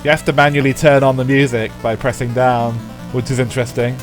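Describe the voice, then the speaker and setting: A man with a stuffy, congested voice talks casually through a live stream and rambles to his chat as he plays. His pitch sits at an ordinary middle height. Faint game music runs under his voice. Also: fairly even intonation, fairly quick, rough recording. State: stuffy from a head cold. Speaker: streamer playing a retro racing game.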